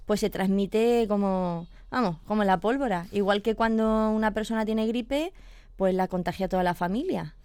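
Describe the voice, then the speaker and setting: A woman's voice: mid-range voice, moderate pace, darkish tone, smooth, dry recording. A woman, a veterinarian explaining pet illnesses patiently on a radio chat, checking in with her listener as she goes. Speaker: veterinarian guest.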